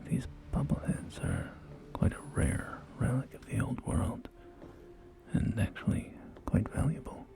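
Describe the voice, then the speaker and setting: A man playing a polite, helpful real estate agent in a post-apocalyptic ASMR roleplay, speaking softly and courteously with small hesitations. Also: on the low side, wildly swooping pitch, heavily acted. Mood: gentle, accommodating. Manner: soft-spoken, hesitant, unhurried, courteous. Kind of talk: ASMR roleplay, whispered sales tour. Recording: close whisper over clear background music. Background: music and game audio.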